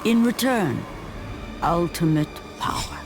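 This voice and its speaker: An actor playing a solemn storyteller, narrating a mythic video game tale with dramatic, portentous phrasing. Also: male voice, high-pitched, animated, slow, heavily acted. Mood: solemn, ominous. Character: solemn storyteller of an ancient Greek myth. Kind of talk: scripted epic game narration. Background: prominent music.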